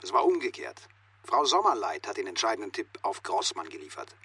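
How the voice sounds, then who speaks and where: A man's voice: fairly low pitch, sing-song, brisk, sharp tone, husky, full character voice. An actor playing a harried male police inspector in a radio drama, with a brusque, official manner. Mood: guarded, impatient. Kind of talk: naturalistic radio drama dialogue.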